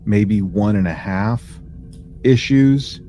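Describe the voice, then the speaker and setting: A man hosting a podcast in casual conversation, talking in a halting, conversational way with frequent fillers. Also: lowish voice, mellow, faint music behind. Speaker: podcast host.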